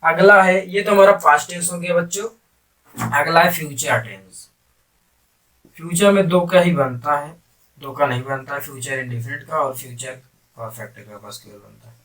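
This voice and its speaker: A male grammar teacher with a fairly high-pitched voice. He explains step by step, repeating key terms and asking the class questions as he goes. The microphone sits some distance away from him. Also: moderate pace, a bit bright. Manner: repetitive, question-and-answer teaching, addressing students. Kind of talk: classroom-style grammar lesson in Hindi with English terms.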